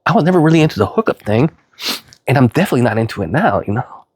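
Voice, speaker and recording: A man's voice: sing-song, rapid-fire, a little hiss or hum. Sniffly man rambling with a cold. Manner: rambling, filler-heavy, repeats "you know"; earnest, swings into loud high emphasis. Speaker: vlogger. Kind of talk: rambling personal vlog monologue.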